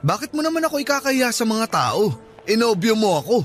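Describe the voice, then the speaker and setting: An oblivious, playful suitor in middle age. His voice sits high for a man as he coaxes and teases insistently, in Filipino radio romance style over faint music. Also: very animated, fairly quick, a bit bright. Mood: insistent, puzzled. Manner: coaxing, teasing.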